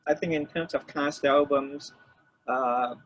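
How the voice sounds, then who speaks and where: An older man from Malaysia, reminiscing as an interview guest in a halting way, repeating phrases as he explains. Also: a little high, steady tone, a bit bright. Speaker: interview guest, record producer. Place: room with shelves of DVDs, on a remote call. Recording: dull lo-fi call, faint noise.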